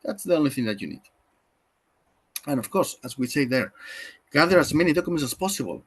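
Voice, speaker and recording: A man's voice: on the high side, expressive, fairly quick, a bit bright, slightly rough. A man from Spain with a Spanish accent, explaining things in a webinar over a video call, talking loosely with many fillers and self-corrections. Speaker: webinar trainer.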